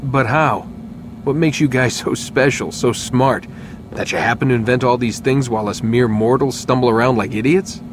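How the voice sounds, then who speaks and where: A man's voice: medium pitch, moderate pace, close to an everyday voice. Man acting a skeptical young dude in a game, snarky, casual, noisy ambience. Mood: sceptical. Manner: sarcastic, challenging, casual. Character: sceptical young male captive, sardonic and guarded. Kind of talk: video game cutscene acting.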